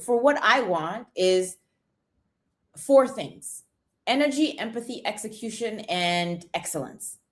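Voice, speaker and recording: A woman with a fairly low voice hosts a casual motivational livestream. She talks energetically, greets viewers by name and keeps checking in with "right?" English is not her first language. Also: fairly bright tone. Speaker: livestream host, supplement seller.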